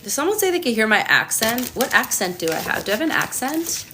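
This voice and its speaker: A woman's voice: on the high side, big pitch swings, quick pace, noisy background. A Canadian woman doing a casual livestream tarot reading, chatting to viewers and answering their comments with lots of ums. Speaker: tarot reader.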